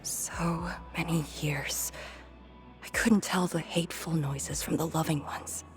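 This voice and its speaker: Haunted game heroine, young, low-pitched, breathy, slow, wistful, hesitant. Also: female voice, steady tone. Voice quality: soft, breathy, often near-whisper. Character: haunted young woman, a wistful disaster survivor. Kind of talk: video game voice acting. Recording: music behind the voice. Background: background music.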